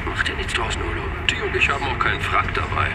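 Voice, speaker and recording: An actor in a German audio drama playing an easygoing, excitable, cheeky sidekick with a casual, slangy manner. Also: male voice, on the low side, quick pace, very noisy.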